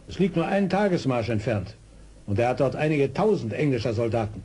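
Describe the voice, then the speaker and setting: Casting: proud colonel of a besieged fort, mellow but husky, formal and measured, for radio play. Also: male voice, mid-range voice, sing-song. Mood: grave, worried. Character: beleaguered British fort colonel, gruff proud father. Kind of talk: 1970s German audio drama acting.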